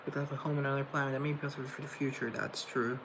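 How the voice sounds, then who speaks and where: A young man from Colombia hosts a relaxed livestream, rambling as he reads viewer comments. His voice carries a gravelly fry, and steady background noise hums under a laptop mic. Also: mid-range voice, very fast, poor audio. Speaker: livestream host reading chat. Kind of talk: casual livestream chat.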